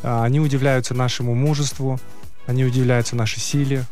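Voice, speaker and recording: Male athlete guest for a casual radio interview, medium pitch, hesitant explainer, faint music underneath. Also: level tone, a little mellow. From Kyrgyzstan. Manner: halting, explanatory, with many hesitations. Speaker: athlete guest, player of a traditional horseback sport.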